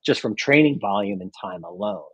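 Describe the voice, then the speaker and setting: A fairly low-voiced man explains training in a loose, conversational way, checking in with "right?" as he goes. His voice is a bit husky, recorded dry and close with no room sound. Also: lively intonation, normal speed, no echo, lo-fi sound. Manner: casual, explains with many "right?" tags. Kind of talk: casual coaching chat.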